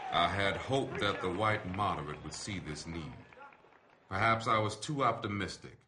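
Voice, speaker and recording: A man reading an open letter aloud in a measured, deliberate, formal delivery. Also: sits low, lively intonation, a crowd in the background, noisy background.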